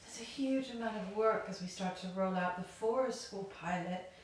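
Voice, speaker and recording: A woman's voice: medium pitch, moderate pace, close to an everyday voice. A woman playing an exhausted, overworked professional in an audio play, weary and complaining. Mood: weary, drained. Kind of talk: audio drama acting. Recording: very echoey, distant, faint noise.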